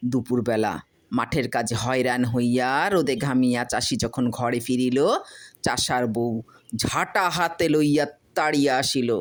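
A woman reading a humorous folk story as scripted narration, in an expressive, literary storytelling manner. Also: low-pitched.

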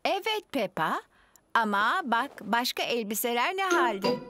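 A kind cartoon mother pig. Her voice sits on the high side and she speaks gently and patiently. Prominent music runs underneath. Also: very animated, unhurried. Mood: worried.

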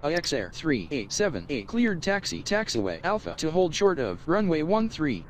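A man's voice: fairly high pitch, huge pitch swings, normal speed. A man's synthetic-sounding voice giving scripted air traffic control instructions in stitched-together phrases. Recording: faint background noise.

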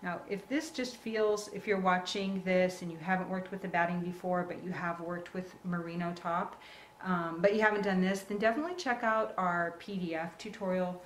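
A woman's voice: lowish voice, steady tone, moderate pace. A woman giving casual, reassuring step-by-step instruction as the host of a live craft tutorial. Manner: reassuring, encouraging. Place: indoor work table. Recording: roomy echo, clear background noise.

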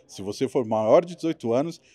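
A man in his thirties hosting an interview from a small home studio with headphones and a studio mic, asking long multi-part questions with lively emphasis. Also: medium pitch, a little fast, no room sound. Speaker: interviewer and show host. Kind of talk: video-call interview.

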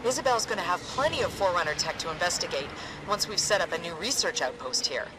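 A woman's voice: on the low side, lively intonation, quick pace, background noise, lightly acted. An actress playing a level-headed female soldier tech in a sci-fi game, casual and dry. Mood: wry. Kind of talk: video game military acting.

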